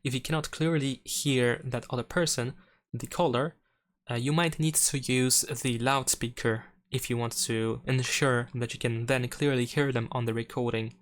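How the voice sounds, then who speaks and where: A man's voice: mid-range voice, steady tone, moderate pace. A man presenting a step-by-step phone tutorial in an instructional, explanatory way.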